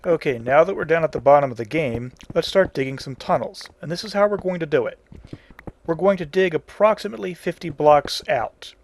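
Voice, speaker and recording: A man's voice: on the high side, fairly quick, a little hiss or hum. A man hosting a casual video game tutorial, explaining each step in plain, folksy wording. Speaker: tutorial host.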